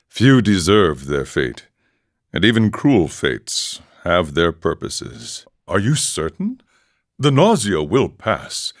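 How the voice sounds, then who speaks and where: A serene, world-weary ascetic monk from a video game. The voice is very low and moves expressively, with measured, formal phrasing and a philosophical bent. The recording is dry and close. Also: male voice, sing-song, no room sound, full character voice. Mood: wistful, philosophical, then calm, reassuring. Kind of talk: video game character dialogue.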